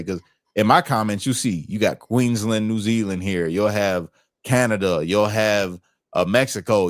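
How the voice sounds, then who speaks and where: A man's voice: lowish voice, dry recording, studio quality. An American man chatting casually on a political livestream in colloquial, streetwise slang. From United States. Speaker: livestream host.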